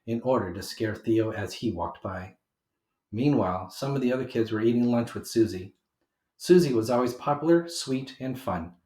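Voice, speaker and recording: A man reading a children's picture book aloud with animated, emphatic delivery for young listeners. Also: mid-range voice. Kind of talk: expressive children's read-aloud narration. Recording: roomy homemade recording.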